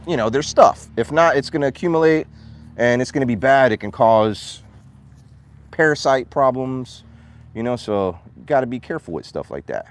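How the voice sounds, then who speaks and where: A man, vlogging casually outdoors on a farm, holding his phone at arm's length. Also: medium pitch. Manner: chatty, animated, coos at his dogs. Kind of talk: casual vlog chat.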